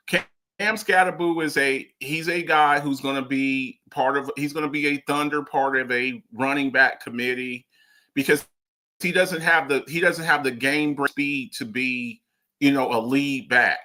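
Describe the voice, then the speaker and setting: Bright, animated man debating football. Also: medium pitch, a little slow. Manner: animated, repeats and restarts, presses with questions. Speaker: sports talk host. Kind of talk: casual sports debate.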